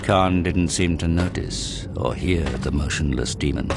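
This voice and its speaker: A man narrating a dramatic audio drama in a measured, grave storytelling manner. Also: very deep voice, over loud music.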